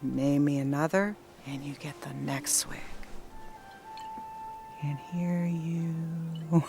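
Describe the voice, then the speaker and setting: An actress playing a dry, sarcastic, tired wife who often drops to a whisper. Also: female voice, low-pitched, fairly even intonation, slow pace, vocal fry, music in the background, full character voice. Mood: wry. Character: wry, weary wife on a road trip. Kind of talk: audio drama acting.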